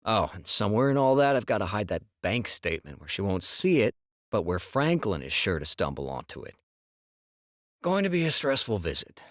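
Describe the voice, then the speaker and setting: Actor as a low-voiced, sensitive con-man husband. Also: big pitch swings, a little fast, fairly bright tone, no echo, clean studio sound. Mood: scheming, matter-of-fact. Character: easygoing, sensitive husband, a con crew's honey trap. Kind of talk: full-cast audiobook dialogue acting.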